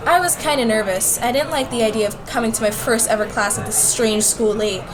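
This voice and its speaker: A woman's voice: on the high side, a little fast, noisy background, close to an everyday voice. A woman playing a nervous, bewildered schoolgirl who tells her own story in an amateur radio play. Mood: narrating. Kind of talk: first-person story narration and acted dialogue in an amateur radio play.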